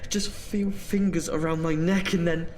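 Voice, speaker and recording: Horror audio drama: high-pitched, agitated man trapped in darkness, dreading what is near; male actor. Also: steady tone, normal speed, a little hiss or hum, lightly acted. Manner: fearful, agitated, suspenseful. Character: terrified male experiment subject alone in the dark.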